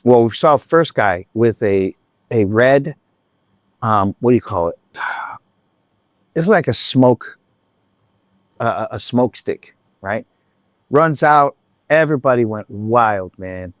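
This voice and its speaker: A man's voice: mid-range voice, expressive, slow, long pauses, dry recording, clean studio sound. A man telling a concert story in a casual, rambling vlog chat, full of restarts and asides. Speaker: vlogger.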